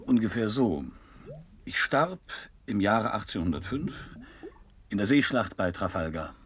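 A man's voice: on the low side, animated, unhurried, highs cut off. An actor in a German radio drama playing a self-absorbed, theatrical would-be writer composing his memoirs. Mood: declaiming.

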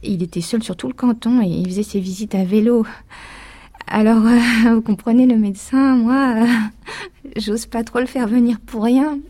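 Actress playing a hesitant country patient. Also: female voice, on the high side, fairly even intonation, normal speed, warm tone, close to an everyday voice. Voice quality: hesitant, many fillers. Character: rural female patient, timid and apologetic. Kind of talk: radio drama acting.

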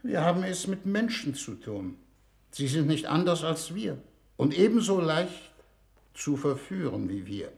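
A man's voice: a little high, very animated, a little slow, gravelly, a little mellow, exaggerated voice. An actor in a German-language 1960s radio drama playing a shrewd, commanding statesman who speaks formally and persuasively. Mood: urging, persuasive. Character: shrewd, smooth-talking male statesman leading a Venus mission.

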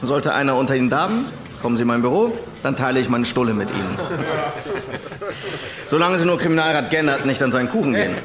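Formal police chief actor in echoey hall. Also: male voice, medium pitch, expressive, natural voice. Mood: wry. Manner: formal, measured, wryly ironic. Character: dry, authoritative senior police official addressing his men. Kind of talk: formal speech in radio drama. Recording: echoey hall with murmuring crowd.

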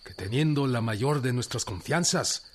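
An actor playing a stern, defiant revolutionary general in a radio drama, with a Mexican accent and a firm, declamatory delivery. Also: male voice, medium pitch, expressive, normal speed, a little hiss or hum, natural voice. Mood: indignant. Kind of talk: Spanish-language radio drama acting.

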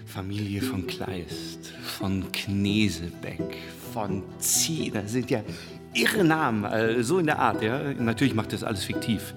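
An actor playing an eager, slick TV pitchman in a radio play, talking in halting salesman-like bursts with dramatic emphasis. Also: animated. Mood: eager, persuasive. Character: eager, slick male TV series pitchman, chatty and insistent. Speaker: screenwriter pitching a series. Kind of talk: theatrical radio play monologue. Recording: long reverb, clear music behind.

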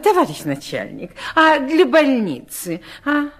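A woman's voice: sits high, huge pitch swings, a little slow, exaggerated voice. A woman playing a polite office secretary in a drama, patient but easily exasperated.

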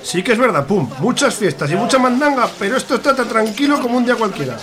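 Radio serial: cheery, joking barman played by an actor, high male voice, rapid colloquial delivery. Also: animated, a bit bright. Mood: chatty. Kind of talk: radio serial comedy acting.

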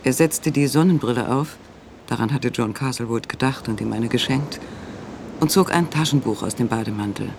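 A man's voice: mid-range voice, moderate pace, gravelly, close to an everyday voice. A man reading scripted narration for a radio play, on a recording with faint noise.

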